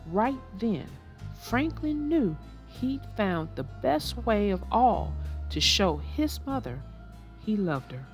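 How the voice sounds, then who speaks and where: Woman storyteller for kids, unhurried and sing-song, faint music bed. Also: medium pitch. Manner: expressive, sing-song reading. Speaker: storybook narrator and host. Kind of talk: children's read-aloud narration.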